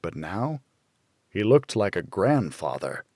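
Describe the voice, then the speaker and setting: A man narrates a hardboiled thriller in the first person. His delivery is brooding and measured, with dramatic pauses and lively swings in pitch. The sound is close and dry. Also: no room sound. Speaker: audiobook narrator.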